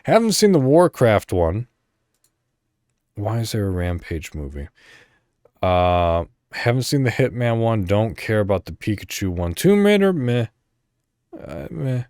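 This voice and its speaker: A man hosting a podcast solo, chatting casually and thinking out loud in a rambling way. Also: on the low side, sing-song, unhurried, pauses often, no echo, clean studio sound. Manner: rambling, thinking aloud, mild swearing. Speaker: podcast host.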